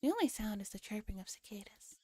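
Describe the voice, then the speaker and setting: A woman streamer with a soft, breathy, often whispering voice, reading a story and chatting casually in a relaxed ASMR style. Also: medium pitch, quick pace, no room sound. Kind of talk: ASMR visual novel read-aloud with casual chat.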